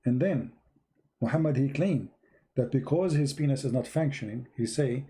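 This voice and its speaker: A man's voice: medium pitch, normal speed, warm tone. A man, an English speaker, giving a blunt, emphatic religious talk to an online audience, repeating himself for stress. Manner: blunt, emphatic, repeats words, non-native grammar. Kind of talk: live-streamed religious talk.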